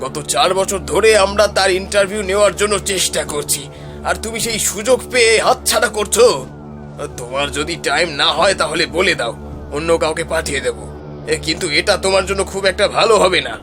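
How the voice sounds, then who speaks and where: A man's voice: sits high, expressive, brisk, music in the background. A man playing a stern, pushy office boss with an authoritative manner in a cartoon story. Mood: scolding. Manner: scolding, authoritative. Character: stern, pushy male newspaper boss on the phone.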